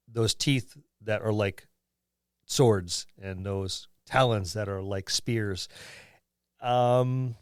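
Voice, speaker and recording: Mid-pitched man in dry casual discussion. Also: dry recording. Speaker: podcast co-host. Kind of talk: casual tabletop gaming discussion.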